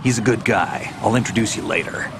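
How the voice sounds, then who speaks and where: Deep-voiced, laconic seasoned soldier. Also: male voice, fairly quick, creaky, background noise. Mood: matter-of-fact. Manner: terse, laid-back, dry. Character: laconic seasoned male soldier, cool, weary, independent. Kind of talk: dramatic video game voice acting.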